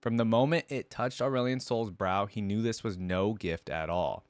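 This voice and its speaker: Male reaction host, lower register, dry recording, casual asides between read-aloud passages. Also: moderate pace, no echo. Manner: informal, rambling asides. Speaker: host of a game lore reaction channel. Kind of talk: casual reaction chat mixed with reading aloud.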